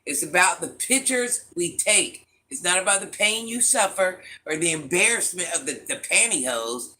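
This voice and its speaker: Woman livestream host, sharp bright voice, teasing and chatty with her chat. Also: fairly low pitch, lively intonation, normal speed, low-quality recording. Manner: teasing, talks straight to viewers by name, laughs often; calls herself Granny. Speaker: livestream host reading viewer comments.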